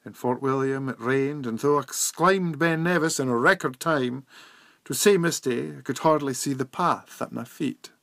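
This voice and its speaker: Scottish male poetry reader, mid-pitched, measured delivery with dramatic pauses, close dry recording. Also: gravelly, fairly bright tone, no echo. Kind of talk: poetry recitation in Scots.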